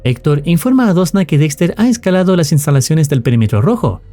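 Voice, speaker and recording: Male narrator, fairly high pitch, animated intonation, formal dramatic scripted horror recap, faint music behind. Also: fast.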